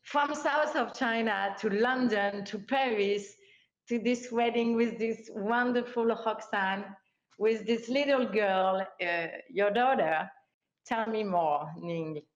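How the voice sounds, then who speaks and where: A woman's voice: medium pitch, slow. A woman hosting an online forum interview, speaking with enthusiasm and non-native phrasing. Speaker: host. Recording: roomy, dull lo-fi sound.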